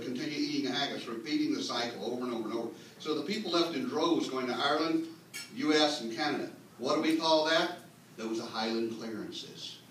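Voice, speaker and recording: In a heavily reverberant room, picked up from a distance over faint noise, a man delivers a humorous lecture. He explains things with mock earnestness and drops in folksy asides. His pitch sits in an ordinary male middle range. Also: fairly bright tone. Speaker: speaker reading a humorous mock history at a clan meeting. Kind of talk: tongue-in-cheek comic lecture read to an audience. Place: indoor meeting room with live audience.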